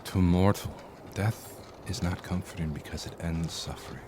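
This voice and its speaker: Over clear background ambience comes an actor as an extremely deep-voiced man of a dark fantasy audio drama. He is solemn and reflective, weighing his phrases with earnest care. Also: normal speed, noisy background, natural voice. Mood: solemn, tender.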